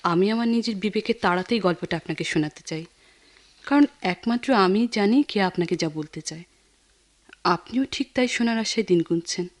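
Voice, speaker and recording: A woman acts out an earnest young female family friend in radio drama style, with a Bangladeshi accent. Her voice is medium in pitch, and she speaks with caring, persistent emphasis and a theatrical delivery. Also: normal speed, natural voice. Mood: earnest, insistent. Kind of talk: Bengali radio drama acting.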